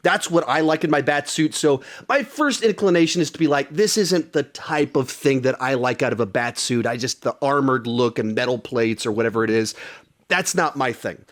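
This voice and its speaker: A man's voice: medium pitch, normal speed. A man hosting a podcast in a casual, conversational style. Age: adult with young child. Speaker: podcast host.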